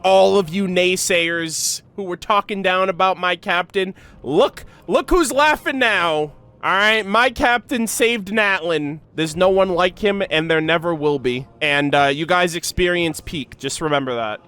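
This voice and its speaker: A young man giving casual, excited reaction commentary in slangy, gushing, animated fan talk. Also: high-pitched, unhurried, smooth. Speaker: reaction video creator.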